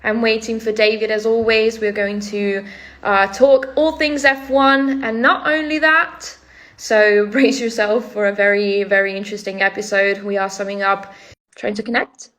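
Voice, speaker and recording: A woman's voice: medium pitch, moderate pace. A woman in her twenties whose first language is Italian, hosting a casual live-stream chat over a video call and laughing often. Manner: laughs often, lively and friendly. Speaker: host and chief editor.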